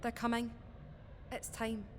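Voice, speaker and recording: Casting: actress for urgent young female rebel, high voice, short questioning phrases, reverberant track with faint noise. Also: monotone, unhurried, natural voice. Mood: anxious resolve. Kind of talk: audio drama dialogue.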